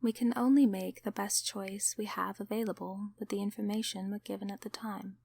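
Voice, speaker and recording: A woman voicing a kindly sleep paralysis demon in an ASMR roleplay, encouraging and affectionate in a soft, soothing, often whispered delivery. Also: mid-range voice, steady tone, brisk, gravelly, slightly rough, dry recording. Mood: soothing, reassuring.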